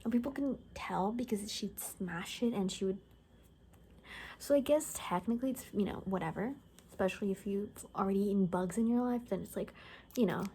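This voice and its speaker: A woman playing a gossipy apocalypse survivor in a soft-spoken ASMR roleplay, casual and halting, often slipping into a whisper. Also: mid-range voice, slight background noise, natural voice, poor audio. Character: gossipy young woman, zombie apocalypse survivor cutting hair.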